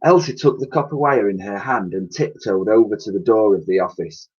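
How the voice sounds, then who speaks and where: A man reading a children's storybook aloud as a teacher would, with expressive narration, on a slightly dull lo-fi recording. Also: on the low side, brisk, slightly rough.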